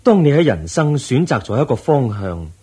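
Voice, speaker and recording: Radio play wise, kindly holy man, Hong Kong Cantonese accent, very breathy husky voice, unhurried delivery with wide, expressive intonation. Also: mid-range voice. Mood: wise, reassuring. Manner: measured, reassuring, sage-like. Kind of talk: Cantonese-language Buddhist radio drama acting.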